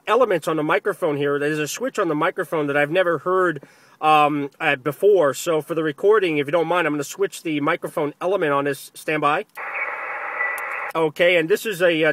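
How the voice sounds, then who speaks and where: A man's voice: a little high, a bit bright, dry recording. A man operating amateur radio, talking over the air and repeating phrases for emphasis. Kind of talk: ham radio contact, spoken to camera and over the air. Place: outdoors in the field.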